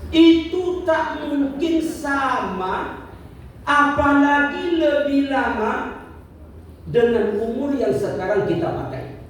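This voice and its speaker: A man's voice: extremely high pitch, expressive, slow, recorded from a distance, slight background noise. Man preaching in an echoey hall. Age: 50s. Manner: rhetorical questions, repeats key points, light jokes with the audience. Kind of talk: religious lecture.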